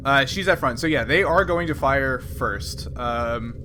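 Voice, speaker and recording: A man working as game master, narrating a tabletop adventure in a casual, improvised, conversational way. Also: medium pitch. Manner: conversational, quick to laugh. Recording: noisy room recording with background ambience.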